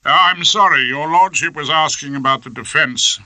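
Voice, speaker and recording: A man's voice: a little high, moderate pace, pushed and bright, dry recording, pitched above the performer's own voice. A man playing a cynical old British defence barrister in an audiobook, mock-courteous, dogged and declamatory. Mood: mock courtesy.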